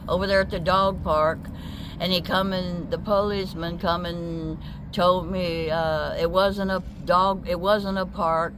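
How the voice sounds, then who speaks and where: A woman in her seventies from Texas, telling her story in a casual street interview, rambling and plainspoken with many restarts. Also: on the low side. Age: 70s. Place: outdoors by a busy road. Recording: loud traffic noise outdoors.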